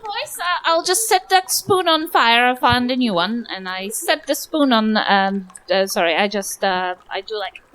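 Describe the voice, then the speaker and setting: A woman's voice: fairly high pitch, lively intonation, normal speed, few pauses, fairly bright tone, a little hiss or hum. A New Zealand woman playing a live tabletop game, casual and politely hesitant as she describes her moves. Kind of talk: casual improvised play before an audience. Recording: live show with music underneath.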